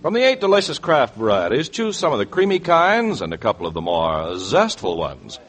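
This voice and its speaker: A man with an American accent reads scripted advertising on 1940s radio, with a polished salesman's delivery and deliberate emphasis, in a vintage broadcast recording. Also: mid-range voice, huge pitch swings, a bit bright. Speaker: radio announcer.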